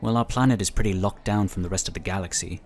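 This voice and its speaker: Low-voiced, amiable man, talkative inquisitor played by actor. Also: fast, darkish tone, close to an everyday voice. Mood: friendly. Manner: talkative, explanatory, encouraging. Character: amiable, knowledgeable male psionic inquisitor who loves food. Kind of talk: audio drama dialogue.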